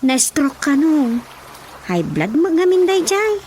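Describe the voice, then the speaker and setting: A woman's voice: sits high, very animated, slow pace, darkish tone, slight background noise, heavily acted. A woman with an Ilocano accent playing a village mother in a Filipino radio drama. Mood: worried.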